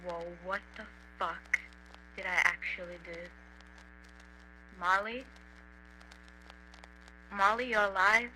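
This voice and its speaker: A woman voicing an aimless, awkward, foul-mouthed young man in an indie animated horror, speaking in a hesitant, deadpan mumble. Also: medium pitch, extremely slow, long pauses, heavily acted, rough recording. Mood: uneasy. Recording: faint hum and hiss.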